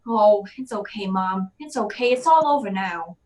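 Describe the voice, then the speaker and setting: A woman's voice: mid-range voice, expressive, normal speed, darkish tone, natural voice. A woman playing a wry, slangy hardboiled male private eye in an old-time radio detective drama. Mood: gentle. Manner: slangy, wry, tough-talking. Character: hardboiled wisecracking male private eye, narrating his own case.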